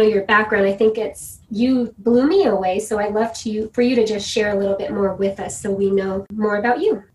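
A woman's voice: medium pitch, breathy edge, low-quality recording. A woman hosting a podcast, talking in an enthusiastic, encouraging way as she introduces her guest. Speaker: podcast host. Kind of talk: upbeat podcast interview.

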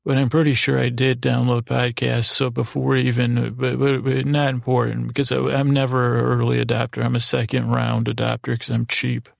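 A man hosting a bedtime podcast in a meandering, halting monologue, repeating himself and pausing as he goes. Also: mid-range voice, completely flat delivery, creaky, no echo, clean studio sound. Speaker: podcast host. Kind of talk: rambling bedtime podcast monologue, meant to lull.

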